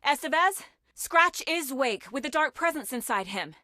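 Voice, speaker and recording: A woman's voice: high-pitched, expressive, normal speed, sharp tone, no room sound, studio quality. An actress voicing a determined, intense woman FBI agent in dramatic video game dialogue. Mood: urgent, commanding.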